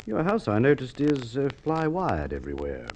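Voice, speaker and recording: A man acting a courteous, methodical police inspector in an old radio crime drama, with polite, measured, probing speech. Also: mid-range voice, wildly swooping pitch, a little mellow. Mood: politely probing. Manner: polite, measured, hesitant probing with formal phrasing. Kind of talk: Golden Age Australian radio drama acting. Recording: muffled, noisy old radio recording with clicks.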